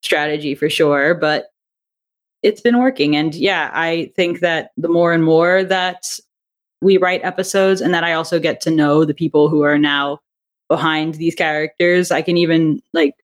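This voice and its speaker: A woman in a casual interview chat, talking in an animated way and acting out bits of quoted thought as she explains her creative work. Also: deep voice, normal speed. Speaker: interview guest, audio drama writer and director.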